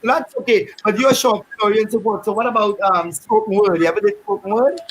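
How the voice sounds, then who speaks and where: A man's voice: high voice, steady tone, fast, crowd noise, poor audio. A man hosting a panel discussion, chatty and joking in a loose, easy way. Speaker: host.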